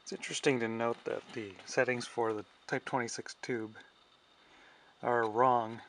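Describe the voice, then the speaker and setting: A man's voice: mid-range voice, little pitch movement, a little slow, fairly bright tone. A man giving a casual technical demonstration, thinking aloud as he works. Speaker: radio restorer narrating his own repair. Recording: clear background noise.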